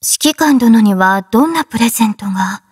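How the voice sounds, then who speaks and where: A woman playing a gentle, composed female android soldier in an anime game, speaking softly and with polite formality. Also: on the high side, expressive, a little fast, slightly rough, no echo, studio quality. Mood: soft, teasing. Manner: polite, formal, soft-spoken. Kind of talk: Japanese anime game voice acting.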